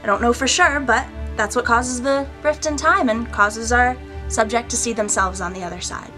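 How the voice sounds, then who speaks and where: Woman acting a high-voiced, composed mystical guardian. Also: normal speed, over music, lightly acted. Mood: cryptic, explanatory. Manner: composed, cryptic, authoritative. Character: mysterious, unflappable female guardian of a magical table. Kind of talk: measured screen drama acting.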